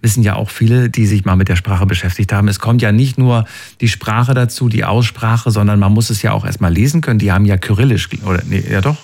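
A man hosting a casual interview, asking long questions slowly with fillers. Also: lowish voice, vocal fry, darkish tone. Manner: slow, searching questions with fillers. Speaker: host.

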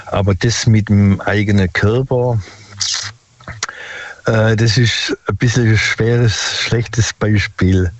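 An older man calls in and tells his story haltingly, with many filler sounds. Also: lowish voice, steady tone, no room sound. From Stuttgart area. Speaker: caller. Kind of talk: phone-in storytelling. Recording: dull lo-fi phone line.

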